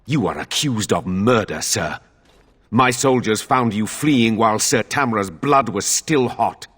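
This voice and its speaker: A stern captain of the guard in a fantasy game. His voice is fairly low and slightly rough, formal and accusing, ringing in a long-echoing hall. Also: expressive, a little slow, fairly bright tone, exaggerated voice. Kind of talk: video game voice acting.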